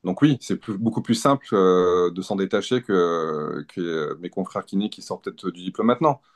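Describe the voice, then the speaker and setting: A man's voice: deep voice, moderate pace. A man in his fifties, a physiotherapist explaining his work as an interview guest in casual talk, thinking aloud and often rephrasing as he goes. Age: 50s.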